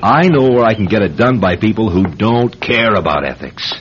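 An actor playing an obsessed, reckless novelist in an old-time radio drama, speaking with insistent, cajoling intensity. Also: male voice, on the low side, lively intonation, normal speed, close to an everyday voice. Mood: fervent, pleading. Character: obsessed, reckless male novelist chasing a death experience. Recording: dull lo-fi radio recording with hiss.

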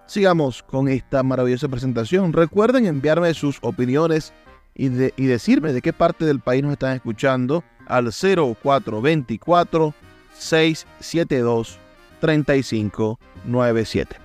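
A Venezuelan man presents a radio show in a medium-pitched voice. He talks straight to listeners, with light music playing underneath. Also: unhurried, a little mellow. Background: faint music.

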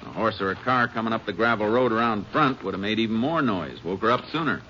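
A calm, shrewd Texas Ranger in a 1950s radio western. The voice sits very low, with terse, deliberate delivery. The old recording is band-limited and clearly noisy. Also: male voice, dull audio. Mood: analytical. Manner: terse, deliberate, matter-of-fact. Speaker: lead actor and narrator. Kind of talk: 1950s radio drama acting.

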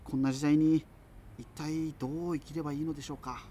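A man's voice: fairly high pitch, little pitch movement, fast talker, very noisy, natural voice. An actor playing a numb, lost man in an earnest, confessional scripted radio monologue. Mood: numb, despairing. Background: music.

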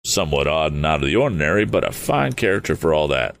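A man voicing a cautious older inventor who is a father, speaking in a measured, deliberate way. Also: extremely low pitch, sing-song, gravelly, slight background noise, heavily acted. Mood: calm.

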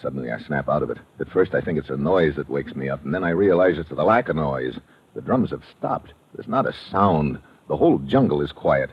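An actor playing a tough, wry American adventurer with a sardonic, deadpan delivery in an old-time radio drama. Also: male voice, a bass voice, a little fast, husky, exaggerated voice. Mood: tense narration. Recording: muffled, noisy old radio recording.

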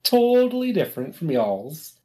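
A man's voice: a little high, huge pitch swings, normal speed, a little mellow. A young man co-hosting a podcast, chatting casually and hesitantly, filling his talk with "um" and "you know". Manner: hesitant, lots of ums and you-knows. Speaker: podcast co-host. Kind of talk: casual chat.

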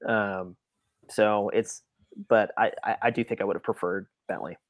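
A man with a slightly low voice, recorded very dry and close. He chats informally about sports as a podcast co-host. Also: steady tone, normal speed, no echo. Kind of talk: casual sports chat.

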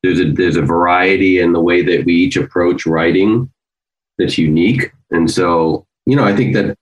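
A man's voice: low voice, normal speed, poor audio. A man who writes and performs for a podcast, chatting casually and with a joking, teasing manner.

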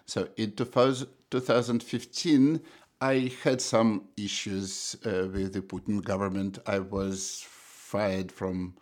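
A middle-aged man from Russia with a Russian accent, answering interview questions as an economist, thoughtful and halting with frequent restarts. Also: fairly low pitch, steady tone. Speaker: economist guest.

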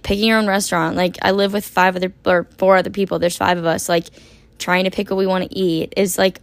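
Young woman podcaster, raspy tired voice, lowish pitch, super chatty, dry sound. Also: dry recording. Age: 20s. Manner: chatty, rambling, lots of "like". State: hoarse after a long work shift. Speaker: podcast host. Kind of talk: casual solo podcast chat.

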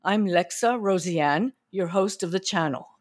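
A woman's voice: on the low side, unhurried. A woman hosting a podcast, reading a careful scripted introduction and then asking questions in a looser way. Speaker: podcast host.

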